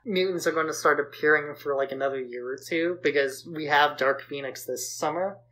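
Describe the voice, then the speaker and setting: A man's voice: a little high, brisk, bright, forceful tone, slight background noise, low-quality recording. A man speaks as a podcast co-host in a casual, conversational way. He offers opinions freely, in informal back-and-forth talk. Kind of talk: casual film discussion podcast.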